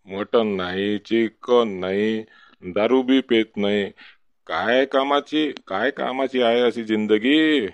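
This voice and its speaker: Man playing low-voiced, boisterous village drunkard uncle. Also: takes it slowly, clear, resonant tone, no room sound. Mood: scornful, boastful. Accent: Varhadi Marathi (Vidarbha). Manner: rambling, cajoling, repetitive tag questions. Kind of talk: comic village cartoon dialogue.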